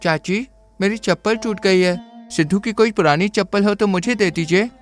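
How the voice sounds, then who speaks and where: A man's voice: high voice, moderate pace, faint music behind, voice pushed up, natural voice. A man voicing a poor but proud boy in a cartoon story who speaks earnestly and with determination. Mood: pleading.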